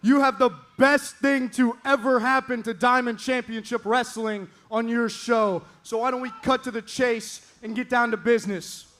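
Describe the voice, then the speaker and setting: A teenage man cutting an arrogant wrestling promo in an indoor arena, boasting and yelling at the crowd. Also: very high-pitched, steady tone, normal speed, few pauses. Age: teens.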